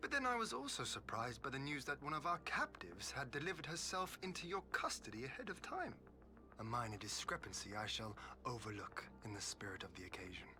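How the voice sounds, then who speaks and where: A man's voice: mid-range voice, very animated, background noise, lightly acted. A man playing a composed, courteous and wryly wary lord in a video game, speaking formally and with dry politeness. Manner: formal, measured, dryly polite. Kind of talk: dramatic video game acting.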